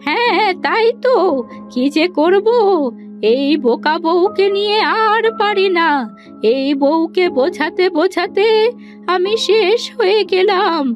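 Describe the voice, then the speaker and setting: A woman's voice: very high-pitched, lively intonation, slow pace, muffled recording, soft background music, full character voice. A woman voicing an exasperated village mother-in-law in a cartoon. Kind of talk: cartoon character acting.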